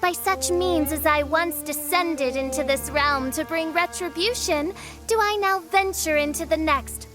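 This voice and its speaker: A woman's voice: high voice, measured pace, music in the background. A woman playing a theatrical teenage girl who declaims like a gothic princess, grandiose and archaic, in an anime game. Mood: imperious.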